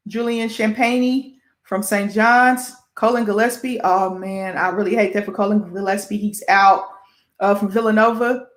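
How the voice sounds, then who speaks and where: Female sports host, Midwest American accent, mid-pitched, informal and self-deprecating, careful halting delivery on names, roomy echo. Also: steady tone. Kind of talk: casual sports show presenting, reading award lists.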